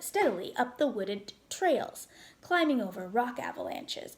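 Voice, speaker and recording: A woman narrating a children's story aloud in a deliberate, expressive way. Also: fairly high pitch.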